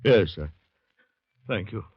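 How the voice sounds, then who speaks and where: A man's voice: on the low side, lively intonation, slow pace, husky, dry recording, heavily acted. An actor playing a terrified shell-shocked man, narrating his flight in an intense 1940s radio drama style. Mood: panicked, frantic. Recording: muffled vintage 1940s radio recording.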